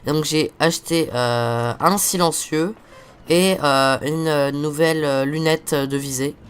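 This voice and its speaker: A man's voice: on the high side, fairly bright tone, smooth. Stuffy-voiced teenage boy gamer chatting. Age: teens. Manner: rambling, chatty, quick to swear at the game. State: has a cold, stuffy. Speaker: gaming video host. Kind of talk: casual let's-play commentary.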